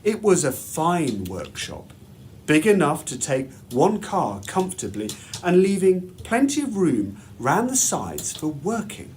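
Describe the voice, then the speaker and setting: A man reads a children's story aloud in a measured, deliberate, expressive way, like a headmaster at storytime. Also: on the high side, a little hiss or hum.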